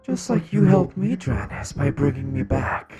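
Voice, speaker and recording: A man playing a seductive, scheming evil rose spirit in an amateur Minecraft roleplay, with a drawn-out, teasing delivery. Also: fairly high pitch, very animated, over music. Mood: smug.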